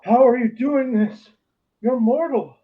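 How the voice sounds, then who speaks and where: A man voicing a young male dream god, loud, strained and dramatic, in an amateur radio play. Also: very high voice, unhurried, narrow, tinny audio, pitched above the performer's own voice. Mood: defiant. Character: terrified young male dream god, commanding turned desperate.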